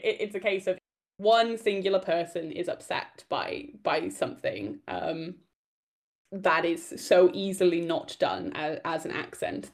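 Giggly woman with a light Welsh accent. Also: on the low side. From Wales. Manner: hesitant, giggly, many fillers. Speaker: guest. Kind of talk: casual interview chat.

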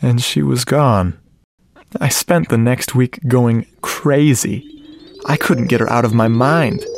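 An actor playing a lovestruck, self-deprecating geek, narrating his story in a confiding, earnest way in a radio drama. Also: a little high. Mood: wistful. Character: lovestruck, self-deprecating, geeky male sound-effects artist. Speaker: narrator and lead character. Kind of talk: first-person radio drama narration, conversational. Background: music under the voice.

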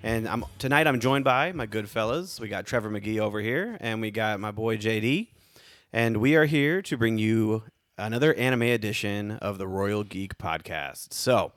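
Chill young guy hosting a podcast, lowish voice, dry close mic, lots of ums. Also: normal speed, fairly bright tone, no echo. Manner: laid-back, rambling with many fillers. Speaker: podcast host. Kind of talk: casual podcast chat.

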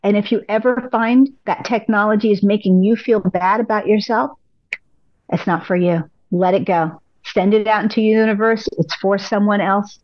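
A woman, a pilates instructor, giving upbeat, encouraging advice as a podcast guest, a little hoarse from losing her voice. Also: mid-range voice, fairly even intonation, moderate pace, no echo, lo-fi sound. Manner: upbeat, encouraging, speaks in repeated affirmations. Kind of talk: casual interview advice.

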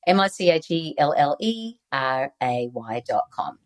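An Australian woman, a guest author on leadership, giving earnest, animated interview answers over a video call. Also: deep voice, unhurried.

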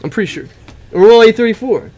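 A man's voice: high voice, lively intonation, fast, mellow, rough recording. A talkative musician in his mid thirties chatting casually in an interview, rambling along in slangy, informal speech. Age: mid 30s. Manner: informal, slangy, calls listener bro and man. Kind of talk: casual chat.

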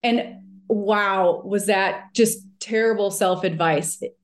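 A woman's voice: mid-range voice, slow. A woman from Minnesota, a mindset coach and speaker, telling a personal story in an earnest, motivational way. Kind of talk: reflective storytelling.

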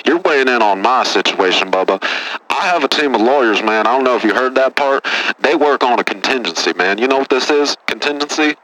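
A man's voice: medium pitch, lively intonation, brisk, a bit bright, close to an everyday voice, low-quality recording. A man playing a boastful, touchy caller from Texas who says "man" after nearly every phrase, in a comedy radio bit. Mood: whiny, indignant. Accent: Texan.

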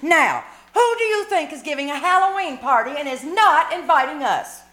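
A woman acts out a scheming, exasperated sitcom wife with a very high, bright voice. She is theatrical and peppy in old-time radio comedy style. The sound is roomy, from a live performance. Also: lively intonation, normal speed. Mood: indignant, gossipy. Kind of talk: 1940s radio sitcom acting. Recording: roomy live stage recording, faint noise.

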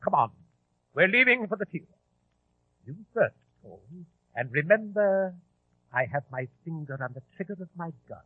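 A menacing ringleader in a vintage radio drama, theatrical and taunting. The high, husky voice swings dramatically up and down, heard through a tinny old broadcast recording. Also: male voice, very animated, normal speed, pauses often, no room sound. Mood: gloating menace. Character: menacing, volatile male leader of a bomb plot.

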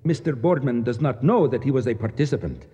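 An actor playing a proud, bitter Egyptian nationalist official in a radio drama, speaking formally and precisely with declamatory flourishes. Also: male voice, mid-range voice, fairly quick, mellow, breathy edge. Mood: formal, reproachful. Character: proud, embittered, fanatical Egyptian nationalist official, courteous yet grim. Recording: dull sound with long echoing reverb.

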